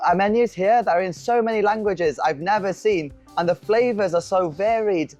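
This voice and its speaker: A woman hosts from an open-air food centre, her voice sitting at a middling pitch. She tells her stories candidly and laughs at herself. Soft music plays faintly behind her. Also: moderate pace, dull audio. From North Wales. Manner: candid, self-deprecating storytelling. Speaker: host. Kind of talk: scripted conversational presenting.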